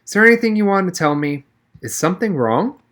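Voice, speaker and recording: A man voicing a female schoolteacher in an audiobook, gently probing, concerned and reassuring. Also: sits high, sing-song, quick pace, voice pushed up.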